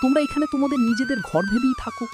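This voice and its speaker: A man's voice: very high voice, huge pitch swings, quick pace, heavily acted. A man playing a generous, middle-aged wealthy uncle in a cartoon, welcoming and reassuring. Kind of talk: cartoon voice acting. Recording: music laid over the dialogue.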